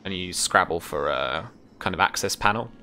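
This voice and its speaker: A man's voice: low-pitched, expressive, normal speed, pushed and bright, a little hiss or hum. A man in his thirties improvising scene narration as game master, in hesitant, piecemeal phrases, close-miked on a video call. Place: small room at home with bookshelves.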